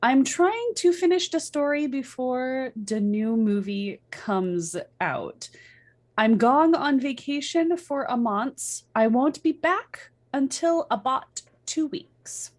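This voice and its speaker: A woman's voice: high-pitched, animated, slow pace. A woman reading fan fiction aloud and chatting casually with the other readers. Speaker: reader in a livestreamed group fanfiction reading. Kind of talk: dramatic reading mixed with casual chat.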